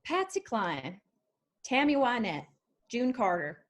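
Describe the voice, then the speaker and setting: A woman's voice: a little high, sing-song, takes it slowly, full character voice. A woman reading the part of a bold, blunt young woman in a screenplay table read, asking challenging questions. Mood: probing. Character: Charlie, bold blunt young woman joining country band. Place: remote virtual table read.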